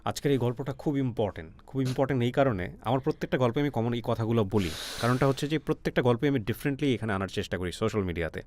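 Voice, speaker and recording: A man's voice: medium pitch, very fast, hardly any pauses, darkish tone. A man with a Bangladeshi accent hosting an interview, asking questions and addressing listeners, repeating phrases for emphasis. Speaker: host.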